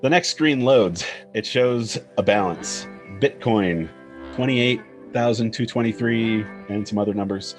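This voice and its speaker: A man's voice: fairly low pitch, measured pace, soft background music. A man narrating a screenplay's stage directions in a scripted table-read style.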